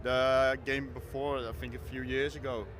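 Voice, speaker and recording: A young man from the Netherlands, a visiting fan, answering hesitantly with many pauses. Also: mid-range voice, flat delivery, noisy background. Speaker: fan guest.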